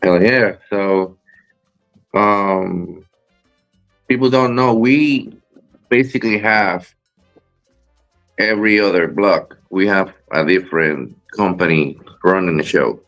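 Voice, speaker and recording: A man from Rico, a guest wrestler chatting in an interview, halting with many fillers and restarts. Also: low voice, a bit bright, soft background music. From Puerto Rico. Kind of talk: casual interview chat.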